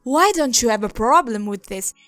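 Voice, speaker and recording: A woman's voice: fairly high pitch, expressive, normal speed, a bit bright, dry recording, faint music behind. A woman acting an indignant, territorial nature goddess in a fantasy audio drama, scornful and complaining. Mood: disgusted.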